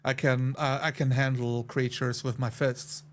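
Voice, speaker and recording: A man's voice: mid-range voice, level tone, a little slow. A man who plays tabletop roleplaying games, casually talking through what his character does. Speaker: tabletop game player. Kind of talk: casual chat about a roleplaying game.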